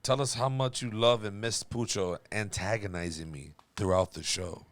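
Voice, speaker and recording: A man's voice: fairly low pitch, steady tone, normal speed, no room sound. A man hosting a casual podcast, speaking bluntly and swearing freely. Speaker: podcast host. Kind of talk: casual group podcast chat.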